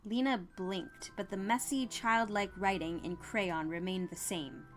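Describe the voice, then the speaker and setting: A woman's voice: medium pitch, steady tone, a little slow. Woman narrating fiction over music. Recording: music under the voice.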